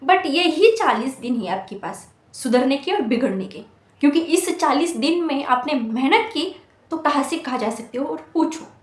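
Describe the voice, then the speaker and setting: High-voiced young woman in an echoey room. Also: lively intonation, moderate pace. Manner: earnest, scolding, full of rhetorical questions. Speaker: motivational speaker for exam students. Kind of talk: motivational talk to students. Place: hostel room.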